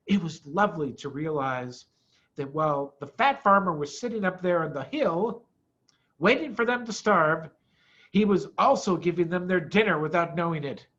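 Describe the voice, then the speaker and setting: A middle-aged man reading a children's storybook aloud in an expressive, emphatic way. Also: fairly high pitch, moderate pace, muffled recording.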